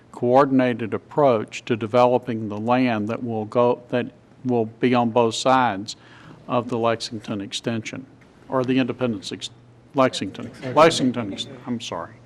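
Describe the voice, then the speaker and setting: Commission member, man, losing his voice, measured, middle pitch, public meeting. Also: steady tone. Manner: measured, explains at length with restarts and apologies. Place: city council chamber.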